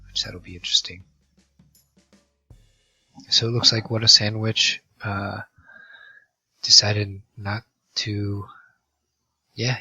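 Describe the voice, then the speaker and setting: A hoarse man with a cold commentating an online card game match, reading out card text with many halting fillers. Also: fairly low pitch, bright, forceful tone, no echo. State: hoarse from a virus. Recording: dull lo-fi mic with faint music.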